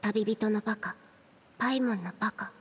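A woman playing a reserved, quietly stubborn teenage sister in an anime game, with a deadpan, quiet delivery. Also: medium pitch, normal speed, a little hiss or hum, natural voice. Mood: sulky. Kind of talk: Japanese anime game voice acting.

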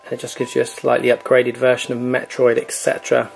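A man's voice: medium pitch, fairly quick, vocal fry, husky, soft background music, poor audio. A man hosting a gaming channel, chatting casually with many fillers as he walks through a console's online store. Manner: relaxed, filler-heavy, chatty. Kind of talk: casual screen walkthrough.

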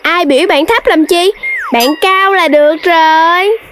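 Animal child for animation: piping very high, cheeky, playful, childlike. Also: female voice, a little slow, background noise. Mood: playful, teasing. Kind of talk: children's cartoon voice acting.

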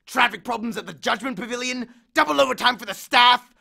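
A man's voice: very high voice, a little fast, creaky, sharp tone, pitched above the performer's own voice, heavily acted. A man voicing an angry, aggrieved male god of the dead who bellows complaints and threats in an audiobook. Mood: aggrieved ranting. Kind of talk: audiobook character voice.

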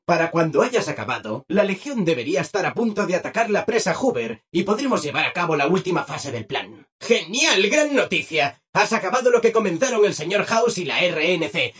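A man's voice: high voice, a bit bright, slightly rough, full character voice. A male actor with a Castilian Spanish accent playing a relentlessly cheerful, over-obliging robot assistant in a video game, chirpy and eager to please. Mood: chirpy, helpful, then gushing, delighted. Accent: Castilian Spanish from Spain. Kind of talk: animated video game character acting.

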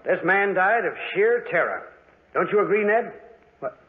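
Grave man, high-pitched, old radio. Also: animated, normal speed. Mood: tense, grave. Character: grave, observant man examining a horrifying death. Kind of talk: 1940s radio acting. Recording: tinny 1940s radio recording.

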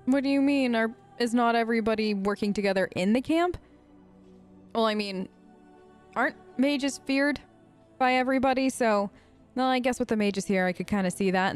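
A woman hosting a gaming video, improvising casual role-play in a chatty, rambling, playful and informal way. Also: a little high. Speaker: gaming video host, role-playing her character.

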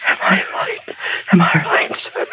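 An actor playing a dying stage memory man, dutiful and weak, speaking in a breathy whisper on an old radio play recording. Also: measured pace, a little hiss or hum, close to an everyday voice. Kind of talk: 1930s radio drama acting. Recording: dull old radio broadcast.

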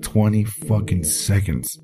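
An actor playing a gruff, foul-mouthed, shaken military captain in an audio drama interview log. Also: male voice, lowish voice, takes it slowly. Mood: bitter, agitated. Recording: voice over loud background music.

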